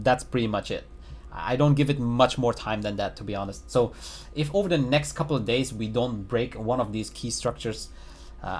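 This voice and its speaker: A man casually talking through market charts, with lots of fillers and restarts, recorded at home. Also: medium pitch. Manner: halting, many fillers and restarts; chuckles at own remarks. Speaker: crypto market analyst. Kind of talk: casual chart analysis commentary.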